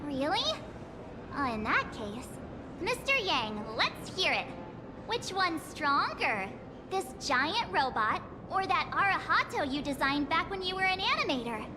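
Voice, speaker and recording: Very high-pitched bubbly game girl. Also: big pitch swings, unhurried, background noise, exaggerated voice. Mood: playful, thrilled. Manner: exclaiming, playful, teasing. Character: bubbly, excitable, curious teenage girl adventurer. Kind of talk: anime-style video game voice acting. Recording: music behind the voice.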